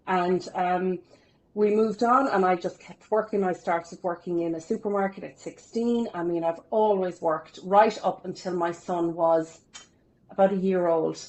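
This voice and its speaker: A woman's voice: fairly low pitch, fairly even intonation, measured pace, a little mellow, low-quality recording. A woman, telling her life story as a podcast guest in a chatty, upbeat way with self-deprecating humour. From Ireland. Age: 40s. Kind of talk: casual interview storytelling.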